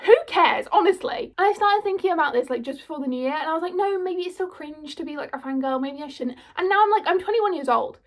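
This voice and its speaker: Brief: woman, 21, high voice, chatty and self-mocking to camera. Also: animated, quick pace, hardly any pauses. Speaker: vlogger. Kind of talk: casual chatty commentary.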